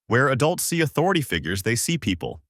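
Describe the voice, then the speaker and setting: A man's voice: medium pitch, very animated, fast talker, a bit bright, no echo, studio quality, pitched above the performer's own voice. A man narrating a dramatic story, voicing a thoughtful child psychologist who explains how children see. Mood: explaining. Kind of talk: narrator reading story dialogue.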